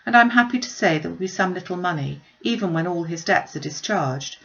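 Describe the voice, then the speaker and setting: Creaky, low-pitched woman reading a novel. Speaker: audiobook narrator. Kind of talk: reading a classic novel aloud. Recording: slightly dull, muffled recording.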